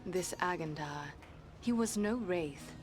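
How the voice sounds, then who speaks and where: A woman's voice: lowish voice, slow. An actress playing a serious elf woman in a fantasy game, with formal, composed delivery. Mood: quiet, questioning. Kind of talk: dramatic fantasy game dialogue. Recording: orchestral game music behind.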